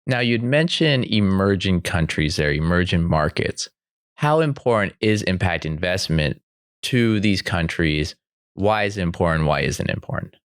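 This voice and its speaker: A man hosting a podcast, asking probing follow-up questions in quick succession. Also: low-pitched, expressive, smooth, no room sound, studio quality. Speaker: podcast host. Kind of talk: interview questions.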